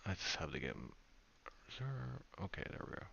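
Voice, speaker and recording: A man's voice: a bass voice, animated, brisk, vocal fry, pushed and bright, a little hiss or hum. A man hosting a racing league stream, chatting loosely and poking fun at himself. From United Kingdom. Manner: chatty, rambling, self-deprecating. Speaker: league race broadcast host. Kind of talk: casual post-race commentary. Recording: dull lo-fi stream audio.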